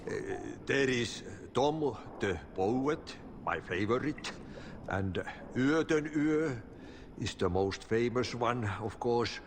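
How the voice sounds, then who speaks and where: An actor playing an eccentric, chatty Finnish man in a game cutscene, with a Finnish accent and halting, cryptic talk that slips into Finnish. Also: mid-range voice, animated, big-room sound, background noise. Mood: amused, admiring. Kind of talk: video game cutscene acting.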